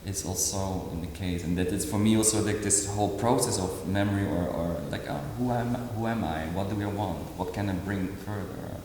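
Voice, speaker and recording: A man in his forties, originally from Indonesia, speaking in an interview as an artist, halting with many fillers and restarts. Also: deep voice, mellow. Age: 40s. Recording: echoey hall with faint noise.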